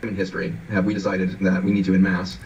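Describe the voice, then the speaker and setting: Urgent, earnest man on a video call, low-pitched, set back from the mic. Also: completely flat delivery, fairly quick, a little mellow, slight background noise. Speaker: physician in interview clip. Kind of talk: earnest interview speech. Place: indoors, video call interview. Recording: dull distant clip audio.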